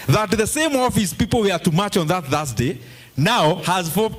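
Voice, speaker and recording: A man's voice: sits high, expressive, moderate pace. A middle-aged man with a Kenyan accent speaking at a public forum and answering audience questions. He talks emphatically and drops Swahili phrases into his English. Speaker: politician addressing a forum.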